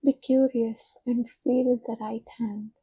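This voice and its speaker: Soft, breathy, very slow woman guiding meditation. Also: on the high side, level tone, no echo, low-quality recording. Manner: soft, soothing, unhurried instruction with long pauses. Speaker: meditation guide. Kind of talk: guided meditation.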